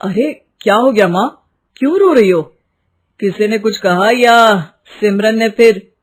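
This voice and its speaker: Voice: medium pitch, a little slow, natural voice. A performer playing a resentful, vengeful young man, a housemaid's son, speaking emphatically in a dramatized story. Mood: concerned. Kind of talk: dramatized Hindi moral story.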